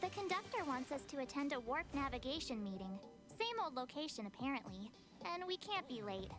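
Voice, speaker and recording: Casting: perky young game heroine, high animated voice, teasing, music behind. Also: female voice, brisk. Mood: playful teasing. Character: perky, teasing, energetic young woman adventurer.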